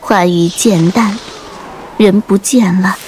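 Unhurried woman narrating an audiobook. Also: medium pitch, a little mellow. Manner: measured, literary. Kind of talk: dramatic scripted storytelling narration. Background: faint music.

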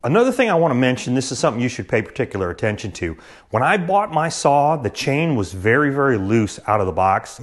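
A man in his thirties giving a practical, matter-of-fact tool review to the camera in his garage. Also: mid-range voice. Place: garage, indoors.

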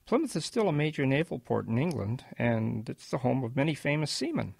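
A husky, creaky old grandfather reminisces in a radio drama. His pitch swings in lively, gentle storytelling, and the recording is close and dry. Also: brisk, no room sound, heavily acted. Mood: animated reminiscing. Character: kindly old grandfather reminiscing about wartime.

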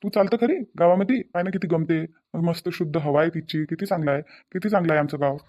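A man's voice: on the high side, fast talker, darkish tone, close to an everyday voice. A man playing an earnest, dutiful husband and son in a cartoon, coaxing and repeating himself in a Varhadi Marathi accent from Vidarbha. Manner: repetitive, reasoning, persuasive. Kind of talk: cartoon dialogue. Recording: dull, lo-fi sound.

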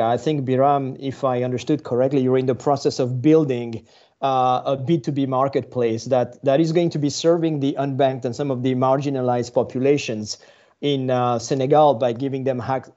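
A man's voice: mid-range voice, dark tone. A middle-aged man moderating a virtual panel over a video call. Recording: dull, lo-fi video call audio.

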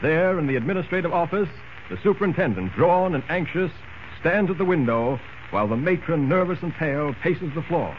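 A man's voice: fairly high pitch, lively intonation, brisk. A man narrating a scripted old-time radio serial in a dramatic, urgent storytelling manner. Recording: muffled, hissy old radio recording.

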